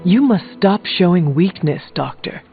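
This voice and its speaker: A man voicing an earnest, blunt warrior hero in a video game, speaking in a measured, formal and direct way. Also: high voice, expressive, vocal fry, exaggerated voice. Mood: stern. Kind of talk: scripted video game dialogue. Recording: phone-like narrow band, music behind.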